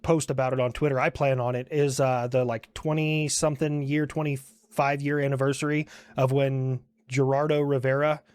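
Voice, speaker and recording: A man's voice: medium pitch, little pitch movement, normal speed, a little mellow, clear, resonant tone, no echo, clean studio sound. A man delivering conversational satirical news commentary in a blunt, profane manner, as the creator of a comedy skit.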